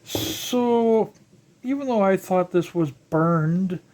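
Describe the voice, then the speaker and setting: An older electronics hobbyist giving a casual, unscripted teardown narration, halting and musing aloud while working with their hands. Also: medium pitch, darkish tone, low-quality recording. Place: indoors at a workbench.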